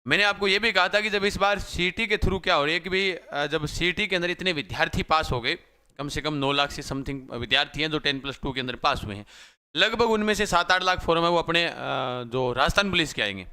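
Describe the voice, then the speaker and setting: A young man teaching exam students live. His voice is slightly hoarse, and he talks repetitively, often calling viewers brother. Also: a little high, fairly quick, pushed and bright. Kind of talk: live-stream class talk.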